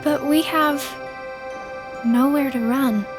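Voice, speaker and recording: An actress playing a gentle, sorrowful teenage girl in a video game, soft and sincere. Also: high-pitched, steady tone, takes it slowly, music in the background. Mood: wistful. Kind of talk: video game cutscene voice acting.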